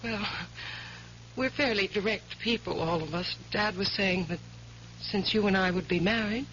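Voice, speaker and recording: Woman acting bossy young society fiancée, bright voice, old radio drama, dull humming recording. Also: mid-range voice, moderate pace, slight background noise, lightly acted. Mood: confident, commanding. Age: 20s. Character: direct, ambitious young socialite fiancée in her twenties.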